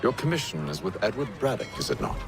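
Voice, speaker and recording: A male actor playing a composed, guarded gentleman mentor in a video game, formal, clipped and authoritative. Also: fairly low pitch, big pitch swings, quick pace. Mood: brisk. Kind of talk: video game cutscene acting.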